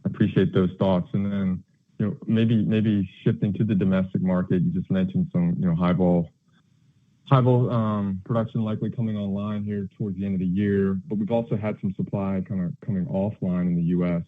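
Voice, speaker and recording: A man asking questions as a financial analyst on an earnings call, polite and conversational with halting phrasing. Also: low-pitched, steady tone. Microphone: telephone. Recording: narrow, tinny phone line.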